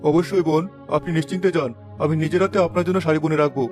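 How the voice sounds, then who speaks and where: Man voicing a patient, principled weaver father, quick-talking. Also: a little high, steady tone, music in the background, close to an everyday voice. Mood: apologetic, soothing. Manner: admonishing, polite, measured advice-giving. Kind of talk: moral-story cartoon dialogue.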